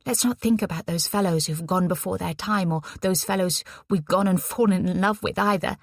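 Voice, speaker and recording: A woman voicing a bubbly, cheeky young wartime nurse who talks briskly and teases her friend. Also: on the low side, gravelly, no echo, clean studio sound. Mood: exuberant. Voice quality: brisk, teasing, exuberant.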